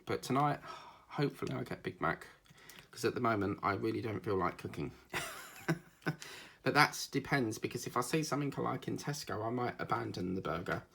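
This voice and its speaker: A man's voice: mid-range voice, lively intonation, normal speed, vocal fry, fairly bright tone, breathy edge. A man with an Essex accent, chatting casually and rambling through his day while he works on a craft video for his channel. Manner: rambling and chatty, with frequent fillers. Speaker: diamond painting vlogger.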